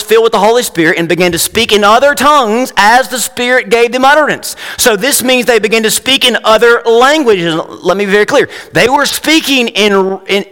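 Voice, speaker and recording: A man's voice: high voice, a bit bright. Man preaching in a reverberant church hall. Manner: conversational preaching, rising emphatic for scripture readings. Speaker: pastor. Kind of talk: sermon.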